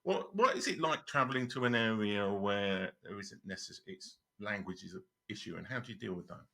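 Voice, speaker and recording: A man's voice: on the low side, fairly quick, vocal fry, pushed and bright. A man from Europe hosting a casual interview, asking long rambling questions with many restarts. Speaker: host.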